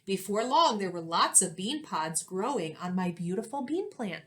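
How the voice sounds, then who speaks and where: A woman in her thirties speaks as an elementary school teacher leading a read-aloud for young children. Her mid-pitched voice moves up and down in an animated way. She is clear and instructive, shaping her phrases expressively for little listeners. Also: normal speed. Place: small indoor classroom-like room.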